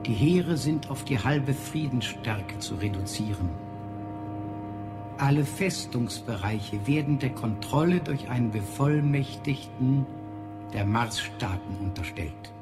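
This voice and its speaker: An actor playing an authoritative alien ruler who proclaims his dominion in a deliberate, formal radio drama manner. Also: mid-range voice, animated, natural voice. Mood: stern, commanding. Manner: commanding, deliberate. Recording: music behind the voice.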